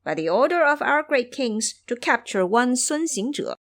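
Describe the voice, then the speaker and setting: A woman's voice: a little high, a little slow, voice pushed up. A woman voicing a gullible young male demon imp, an eager, naive servant, in an audio drama. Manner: excitable, earnest, naive. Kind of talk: audio drama character acting.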